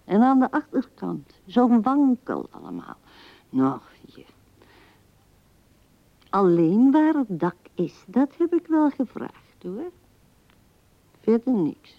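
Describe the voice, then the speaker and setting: An actress playing an elderly, pensive widow murmuring a monologue in a radio drama, often slipping into whispers. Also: female voice, a little high, sing-song, slow, vocal fry, pauses often, no echo, heavily acted. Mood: grumbling, resigned. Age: older. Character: pensive seventy-year-old widow musing at her husband's grave. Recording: dull vintage radio recording.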